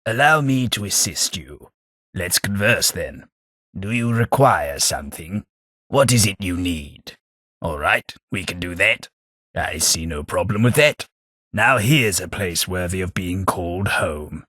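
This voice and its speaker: A haughty old British aristocrat ghoul from a video game. The low voice is raspy yet bright and forceful. He speaks in a formal, pompous way, with dry wit. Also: lively intonation, a little slow, no room sound, heavily acted. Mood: dry, courteous. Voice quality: raspy, gravelly. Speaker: video game companion character. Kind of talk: scripted video game voice acting.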